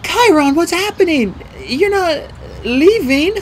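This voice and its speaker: A man's voice: extremely high pitch, wildly swooping pitch, normal speed, a bit bright, slight background noise, voice pushed up, exaggerated voice. A man voicing an anxious, passionate young girl in an audiobook, with urgent, emotional delivery. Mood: worried, shaky. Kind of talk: audiobook character dialogue.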